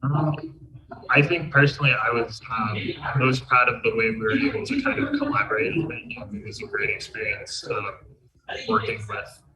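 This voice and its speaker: A man with a mid-pitched voice gives hesitant, unscripted answers as a developer on a panel. He sounds away from the microphone, with clear crowd noise behind him. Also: sing-song. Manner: hesitant, halting delivery. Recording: distant mic, crowd chatter behind.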